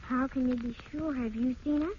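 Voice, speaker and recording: A woman's voice: high voice, steady tone, fairly quick, close to an everyday voice. An actress playing a polite, solemn orphan girl who speaks carefully and plainly in a vintage radio drama. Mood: solemn, questioning. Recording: muffled, noisy old radio recording.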